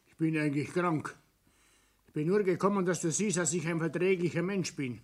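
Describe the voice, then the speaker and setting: A man plays an older Bavarian businessman in a radio drama, with a fairly high voice for a man. He is gruff and distrustful, a stubborn rival giving little away. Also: a little fast, lightly acted. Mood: curt, grudging. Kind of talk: naturalistic radio drama acting.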